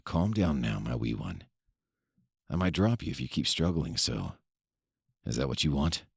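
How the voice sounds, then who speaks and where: A man playing an embittered, obsessed and trapped kidnapper in a horror audio story, with a brooding, menacing and deliberate delivery. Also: rumbling low, big pitch swings, gravelly, slightly rough, no echo, studio quality, voice pushed down, full character voice. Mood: menacing coaxing. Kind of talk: first-person horror audio story monologue.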